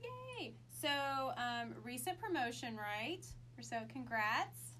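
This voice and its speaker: A woman host with a somewhat high voice opens and steers the chat in a bubbly, rambling way. She leans on fillers and likes. A faint hum sits underneath. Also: sing-song, slow, bright, forceful tone. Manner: bubbly, chatty, filler-heavy. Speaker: podcast co-host. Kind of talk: casual interview chat.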